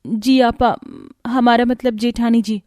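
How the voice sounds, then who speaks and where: A woman voicing a gentle, deferential young bride in a cartoon family story. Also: a little high, little pitch movement, slow pace. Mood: pleading.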